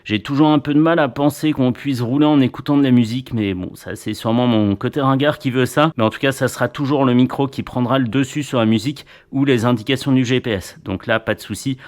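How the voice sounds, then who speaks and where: A man's voice: mid-range voice, fast, hardly any pauses, darkish tone. A man reviewing motorcycle gear in a casual, chatty way, with playful little asides.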